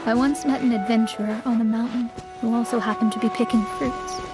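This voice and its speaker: Proud young astrologer woman, high voice, acted. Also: steady tone, fairly quick, over music, lightly acted. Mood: solemn storytelling. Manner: formal, self-assured, lofty. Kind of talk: anime video game voice acting.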